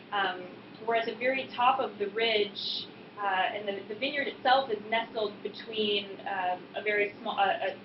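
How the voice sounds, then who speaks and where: An American woman gives an informal, explanatory presentation with frequent hesitant pauses. Her voice rings in a reverberant room, and the sound is somewhat dull, with clear noise behind it. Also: medium pitch. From Boston suburbs, US East Coast. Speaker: winemaker presenting at a tasting. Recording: echoey room, muffled, noisy background.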